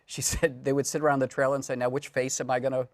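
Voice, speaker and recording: A man's voice: mid-range voice, level tone, quick pace, no echo. A man interviewing guests casually as the host of a studio talk show. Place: television studio.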